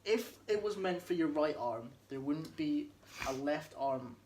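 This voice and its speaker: A man's voice: on the high side, big pitch swings, unhurried, darkish tone, a little hiss or hum. A young man, co-hosting a casual podcast, talking in a laid-back, joking way and sometimes searching for words. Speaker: podcast co-host. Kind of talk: casual chat.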